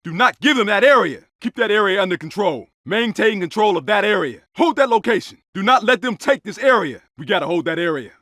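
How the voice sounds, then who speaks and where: An actor playing a tough, urgent American police officer in a combat video game, speaking in clipped, forceful barks. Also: male voice, sits high, expressive, a little fast, hardly any pauses, no echo, clean studio sound. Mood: commanding. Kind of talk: short shouted combat barks.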